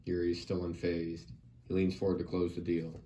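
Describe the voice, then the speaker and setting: A man's voice: sits low, monotone, normal speed, warm tone. A man reading stage directions as scripted narration at a screenplay table read. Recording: distant mic, background noise.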